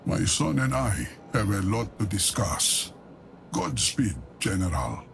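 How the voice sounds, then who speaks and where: An actor playing an aged, deposed dynasty leader in a video game, solemn and conspiratorial in his deliberate briefing monologue. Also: lowish voice, big pitch swings, creaky, slight background noise, full character voice. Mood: conspiratorial, commanding. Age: older. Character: aged deposed dynasty leader, scheming, grave, obsessive patriarch.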